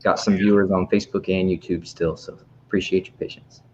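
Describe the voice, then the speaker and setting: A man's voice: low voice, little pitch movement, brisk. A man casually and politely hosting a live program over a video call. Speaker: library program host. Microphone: video call microphone. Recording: dull video-call audio, background noise.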